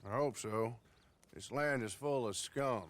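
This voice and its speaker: Man acting a wry, gruff Western marshal. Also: mid-range voice, dry recording, lightly acted. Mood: weary, businesslike. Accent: American. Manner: gruff, wry, drawn-out hesitations. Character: world-weary, wry male US marshal. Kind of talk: video game cutscene acting. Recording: faint background noise, outdoor ambience.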